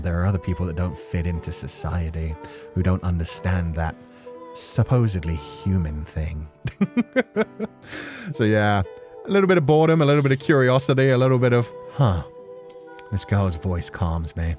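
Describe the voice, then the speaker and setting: Casual male streamer, lowish voice, animated and free with swearing, music underneath. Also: normal speed. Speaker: streamer playing and reading a visual novel. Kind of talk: casual commentary on a story game.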